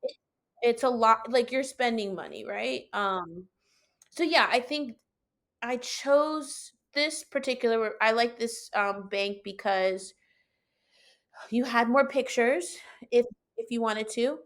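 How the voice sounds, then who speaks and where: A woman with a high-pitched voice telling a personal story in casual, animated conversation, acting out her own reactions as she goes. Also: takes it slowly. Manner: animated, colloquial, acts out own quoted reactions. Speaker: podcast guest telling own experience.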